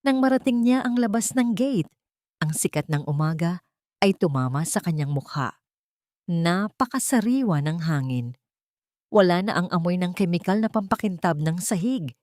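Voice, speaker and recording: A woman with a Filipino accent narrating a scripted dramatic story in a solemn, deliberate, literary way. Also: fairly low pitch, lively intonation, darkish tone, dry recording, studio quality.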